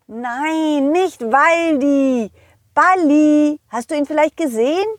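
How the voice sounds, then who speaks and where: Woman playing a friendly loyal dog, children's story narrator, high animated voice, slow halting delivery, expressive. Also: no room sound. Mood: insistent. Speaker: host and narrator.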